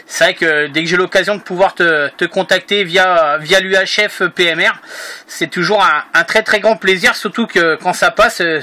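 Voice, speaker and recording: Casting: male radio amateur, high sharp voice through a transceiver, informal, agreeable, meandering and hesitant delivery. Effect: heard through a two-way radio. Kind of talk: casual radio contact chat.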